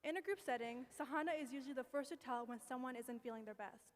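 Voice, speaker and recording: A young woman reading a steady, affectionate tribute speech about a school friend. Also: sits high, fast. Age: young adult. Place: event hall. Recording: long hall reverb.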